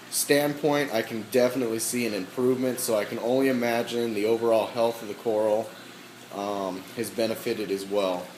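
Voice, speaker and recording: A man walking through his aquarium equipment in a casual, halting way, with many ums and frequent throat clearing, his voice slightly hoarse. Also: mid-range voice, a little mellow, reverberant, slight background noise, poor audio. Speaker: aquarium hobbyist.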